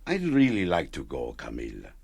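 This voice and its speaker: A man's voice: a bass voice, wildly swooping pitch, normal speed, vocal fry, a little mellow, slightly rough, no room sound, exaggerated voice. A man acting a devoted, weary fisherman husband in a radio play. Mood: gentle, hopeful.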